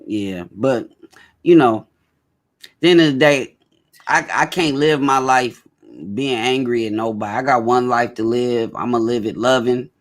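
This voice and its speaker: A man's voice: mid-range voice, unhurried. A man hosting a casual talk show, speaking emphatically and often repeating his points. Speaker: host.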